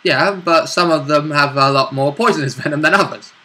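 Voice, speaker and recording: A man's voice: fairly high pitch, a little fast, bright, forceful tone. A man chatting casually as a player about a tabletop role-play game. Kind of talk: casual chat.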